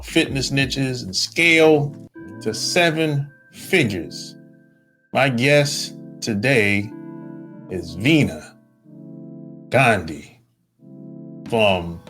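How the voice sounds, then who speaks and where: Male podcast host, slow deliberate delivery, faint music underneath. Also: mid-range voice, fairly bright tone. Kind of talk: interview podcast.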